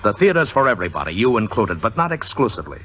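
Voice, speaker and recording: An actor playing a brash, teasing young theater director in a 1950s Hollywood radio drama, speaking in an emphatic, wry, theatrical way. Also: male voice, fairly low pitch, very animated, quick pace, fairly bright tone. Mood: fervent, lecturing. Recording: vintage radio recording, music behind.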